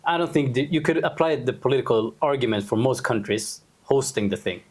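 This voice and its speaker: A man's voice: mid-range voice, lively intonation, brisk. A young man hosting a casual football podcast, easygoing, laughing often and steering the segments. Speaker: podcast host.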